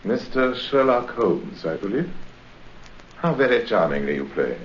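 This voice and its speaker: Deep-voiced, courtly English criminal mastermind. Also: male voice, very animated. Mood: silky politeness. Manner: formal, unctuous, deliberate. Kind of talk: 1950s radio drama acting. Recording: muffled, faintly hissy 1950s radio recording.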